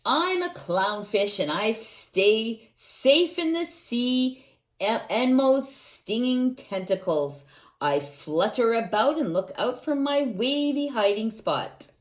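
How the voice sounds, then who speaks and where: Woman, storytime reader for small children: unhurried, coaxing, playful, with a lively up-and-down delivery. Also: a little high. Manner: gentle, coaxing, asks the young listeners questions; playful, with sound effects and exclamations. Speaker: teacher reading to children. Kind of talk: reading a picture book aloud to young children.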